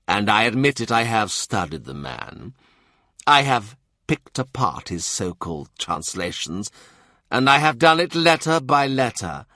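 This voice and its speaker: Male audiobook narrator, low voice, unhurried literary read, close dry mic. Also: fairly bright tone, no room sound. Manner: measured, dry reading with quoted dialogue.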